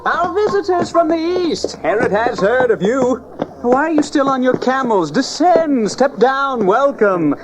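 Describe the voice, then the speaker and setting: An actor playing an officious, servile palace steward in a Bible audio drama, pompous and easily flustered. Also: male voice, very high-pitched, sing-song, measured pace, music in the background, heavily acted. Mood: effusive. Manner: pompous, stammering when challenged. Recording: cassette drama with crowd noise.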